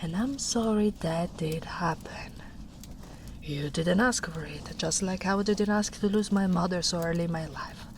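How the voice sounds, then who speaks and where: A woman voicing a gentle, protective big female ogre in a tender, soft-spoken ASMR roleplay, often slipping into whispers. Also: medium pitch, slight background noise, natural voice.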